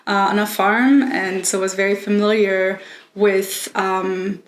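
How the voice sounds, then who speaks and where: A woman's voice: medium pitch, fairly even intonation, takes it slowly. A middle-aged woman from Austria with an Austrian accent, a native German speaker, explaining things articulately in an informal recorded talk. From Innsbruck, Austria. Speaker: interviewee. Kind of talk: informal recorded talk about personal food history. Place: room at home. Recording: echoey room with faint background noise.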